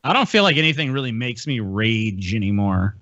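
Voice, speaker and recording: A man's voice: fairly low pitch, sing-song, a little fast, sharp tone, no echo, highs cut off. An American man hosting a podcast, chatting casually in a blunt, profane, ranting way. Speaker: podcast host. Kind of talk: casual chat, ranting banter. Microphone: close podcast microphone on a video call.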